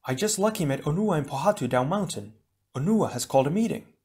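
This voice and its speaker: A cheerful, talkative young air hero, as a man puts him on in a raised, bright voice. His grammar comes out jumbled and broken. He is done as a figure in a read-aloud adventure book. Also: fairly high pitch, lively intonation, quick pace, pitched above the performer's own voice, lightly acted. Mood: eager.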